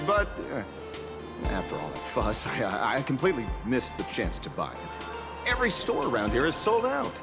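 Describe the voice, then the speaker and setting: An actor playing a theatrical, slightly awkward male detective with a vengeful streak in a video game. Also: mid-range voice. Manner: theatrical, swings from grave and measured to flustered stammering. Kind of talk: dramatic video game character acting. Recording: background music under dialogue.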